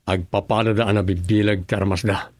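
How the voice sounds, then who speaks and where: A man's voice: lowish voice, level tone, brisk, darkish tone, dry recording, clean studio sound, lightly acted. A man acting as a serious governor in an Ilocano radio drama. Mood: grave.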